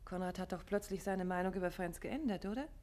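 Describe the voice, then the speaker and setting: A woman playing a detective's curious, shrewd and affectionate wife in a 1950s German radio play, conversational and gently probing. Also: on the low side, quick pace, natural voice.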